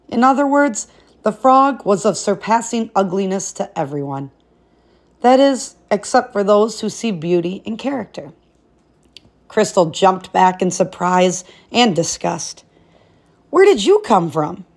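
A woman's voice: mid-range voice, lively intonation, unhurried. Woman reading a storybook aloud. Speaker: read-aloud narrator.

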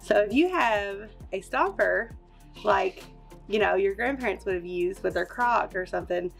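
A woman's voice: mid-range voice, expressive, moderate pace, gravelly, soft background music. A young woman with a Kentucky accent, chatting upbeat as she explains a kitchen recipe step by step at home. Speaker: homestead vlogger. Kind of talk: casual kitchen how-to. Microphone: camera on the counter.

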